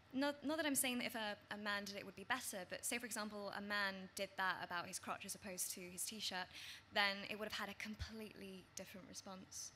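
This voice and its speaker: A woman's voice: mid-range voice, bright, forceful tone, slight background noise. A woman on a panel telling frankly about her own video. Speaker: YouTuber panelist. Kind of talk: panel discussion.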